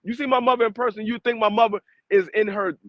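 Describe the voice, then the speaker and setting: A man talks on a podcast with a noticeably high voice. He jokes and makes his points with emphasis, laughing often. The sound is dry and close, with dull, muffled audio. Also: steady tone, fast, no echo. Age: 40s. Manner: joking, emphatic, laughs a lot. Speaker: podcast co-host. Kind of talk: casual chat.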